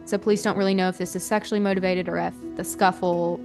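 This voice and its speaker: A woman's voice: on the low side, little pitch movement, fast, music in the background. A woman hosting a podcast, telling a true-crime story in a conversational, colloquial way. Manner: casual, colloquial phrasing with frequent "like". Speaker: podcast host.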